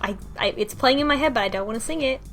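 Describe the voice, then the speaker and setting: A woman's voice: a little high, fast talker, fairly bright tone. A woman co-hosting a casual group chat, recapping a visual novel story. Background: background music.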